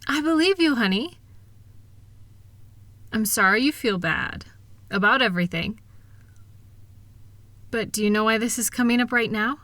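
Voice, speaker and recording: A woman playing a kind, capable wife who gently teases and reassures a partner in an intimate comfort audio roleplay. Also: fairly high pitch, lively intonation, measured pace, pauses often, no room sound. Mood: soothing, reassuring. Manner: reassuring, gentle, teasing, affectionate. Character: kind, upbeat, capable wife soothing her insecure partner.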